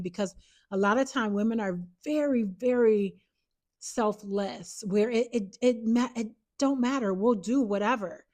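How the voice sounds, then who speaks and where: A woman's voice: mid-range voice, darkish tone. A woman in her fifties, a holistic health coach, talking to camera in an earnest, chatty way, often repeating herself. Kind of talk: casual talk to camera.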